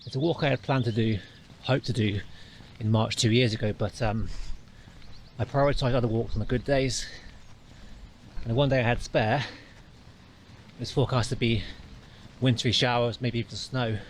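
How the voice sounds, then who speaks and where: Guy vlogging his walk, middle-pitched voice, rambling a bit, camera mic outside. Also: steady tone, normal speed, slight background noise. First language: not a Welsh speaker. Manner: chatty, thinking aloud with small restarts. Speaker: hiking vlogger. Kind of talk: walking vlog narration. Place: outdoors on a hillside in the countryside.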